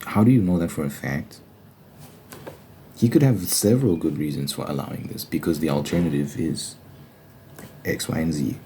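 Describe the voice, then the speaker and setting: A man speaks in a very deep, soft-edged, mellow voice. He delivers an informal ASMR monologue, pressing his argument with emphatic rhetorical questions. A faint noise sits behind the voice. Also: moderate pace, long pauses. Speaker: host of an ASMR Bible study channel.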